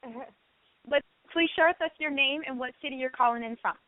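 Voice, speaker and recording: A woman's voice: sits high, fairly quick, no room sound, phone-quality sound. A woman hosting a live call-in show, encouraging and affectionate with the people she talks to. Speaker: radio show host taking listener calls.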